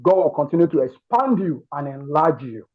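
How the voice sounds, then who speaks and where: A man's voice: on the high side, sing-song, normal speed, phone-quality sound. A man with a Nigerian Yoruba accent gives an earnest testimony to a group, speaking emphatically and often repeating his words and phrases. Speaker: participant in an online masterclass giving feedback.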